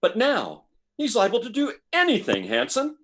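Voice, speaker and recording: A man's voice: very high-pitched, huge pitch swings, normal speed, a bit bright, exaggerated voice. A man playing a worried base medical officer in a radio drama, on a noisy live recording.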